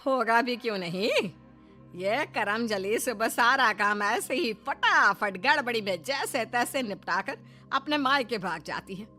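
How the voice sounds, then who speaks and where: A woman acts out a middle-aged mother-in-law from an animated moral story. She scolds and taunts with heavy sarcasm in a fairly high, nagging voice, over background music. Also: a little slow. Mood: bitter nagging.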